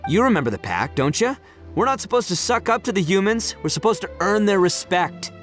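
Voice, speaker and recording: A man's voice: high voice, a bit bright. A man voicing a brash, big-hearted young oni gang leader in a video game, in a boisterous, slangy, easygoing manner. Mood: jovial, proud. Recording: music under the voice.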